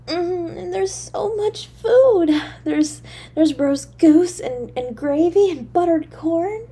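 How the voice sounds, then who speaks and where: Very high-voiced, fragile little girl. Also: expressive, warm tone, exaggerated voice. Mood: delighted awe. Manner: halting, breathy, childlike, stammering. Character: poor, lonely, freezing little match girl, timid and yearning. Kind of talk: solo audio drama monologue.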